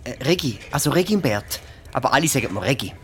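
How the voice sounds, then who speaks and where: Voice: medium pitch, animated, fast, a bit bright, slight background noise, close to an everyday voice. An actor with a Swiss accent playing an eager, curious young peasant boy who speaks hesitantly in a children's radio play.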